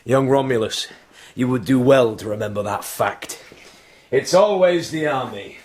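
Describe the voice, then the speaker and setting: An actor playing a blunt, hard-bargaining barbarian army commander in a radio drama, measured and weighty. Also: male voice, medium pitch, a little mellow, close to an everyday voice. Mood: stern.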